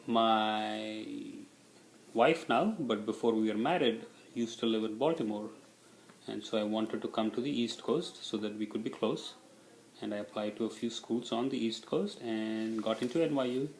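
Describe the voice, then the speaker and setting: A man's voice: lowish voice, moderate pace, roomy sound, low-quality recording. A middle-aged man from India, a native Tamil speaker with an Indian accent, answering interview questions in a casual, reflective way.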